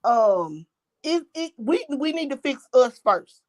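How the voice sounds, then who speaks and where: An older woman calling in to a show, talking bluntly and excitedly in casual slang. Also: sits high, slow. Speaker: caller. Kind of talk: casual phone-in chat.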